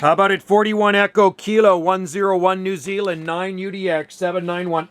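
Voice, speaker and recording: High-pitched man chatting on radio. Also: fairly even intonation, fairly quick, very noisy. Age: about 60. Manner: chummy, complimentary. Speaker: amateur radio operator. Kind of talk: casual radio chat with call phrases.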